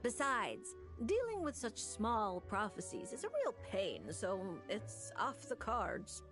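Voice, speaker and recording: Brusque, animated scholarly fortune-teller. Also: female voice, on the high side, slow pace, heavily acted. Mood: exasperated. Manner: dry, fussy, grumbling. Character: brusque, scholarly, easily exasperated female diviner. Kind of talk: video game voice acting. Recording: music behind the voice.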